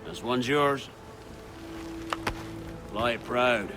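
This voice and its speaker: A man's voice: mid-range voice, extremely slow, over music. A man playing a stately, idealistic pirate leader in a video game, speaking in a rousing way. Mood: solemn pride. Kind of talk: video game cutscene acting.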